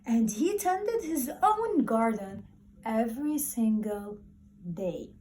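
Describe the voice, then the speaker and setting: Lady teacher doing a read-aloud for little kids, kinda high voice, really slow, encouraging and expressive, repeats stuff. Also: slight background noise. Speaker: primary school teacher. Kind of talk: encouraging talk and read-aloud storytelling for young children.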